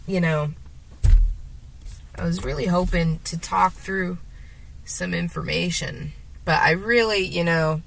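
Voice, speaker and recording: A woman with a sore throat and a gravelly, deeper voice, rambling hesitantly to the camera from her car, with many fillers and restarts. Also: bright, forceful tone, rough recording. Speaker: vlogger. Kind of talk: rambling casual monologue while driving.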